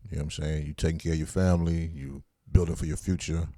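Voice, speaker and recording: A man's voice: extremely low pitch, steady tone, fast talker, mellow, no echo, clean studio sound. A middle-aged man co-hosting a podcast in laid-back, streetwise casual chat, often asking "you know what I'm saying". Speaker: podcast co-host.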